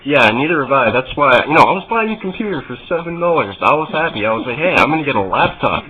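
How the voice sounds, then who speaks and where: A man making a prank phone call as a customer, speaking in a halting, deadpan, mock-earnest way. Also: mid-range voice, a loud crowd. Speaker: prank caller. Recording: muffled narrowband phone call.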